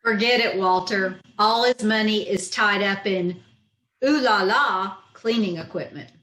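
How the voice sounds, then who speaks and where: A woman's voice: mid-range voice, measured pace, a bit bright, reverberant, close to an everyday voice, low-quality recording. A woman playing a dry-witted, sarcastic schoolteacher in old-time radio comedy style, recorded over a video call in a room. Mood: dry, sardonic. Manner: wry, sarcastic comebacks. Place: echoey room, remote video-call performance.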